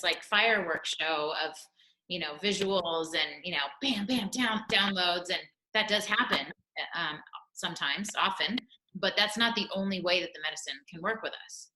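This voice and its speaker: A woman facilitator calmly explaining and affirming in a relaxed, conversational way. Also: medium pitch, normal speed. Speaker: retreat facilitator. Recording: echoey room with faint noise.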